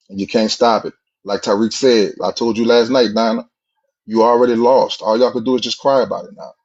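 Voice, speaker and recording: A man giving an argumentative monologue, combative and repetitive, in quick bursts. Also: mid-range voice, darkish tone. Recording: dull lo-fi sound.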